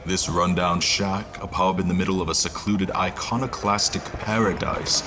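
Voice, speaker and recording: A man narrating a fantasy audio drama as a reflective historian, in a literary, deliberate, measured way. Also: deep voice, steady tone, noisy background, natural voice. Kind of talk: scripted first-person story narration.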